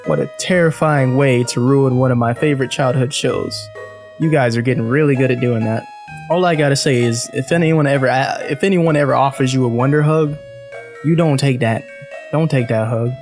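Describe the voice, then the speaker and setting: A man's voice: medium pitch, a little mellow. A man giving casual, slangy reaction commentary, speaking directly to his viewers. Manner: informal slangy talk, addressing viewers directly. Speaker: reaction video host.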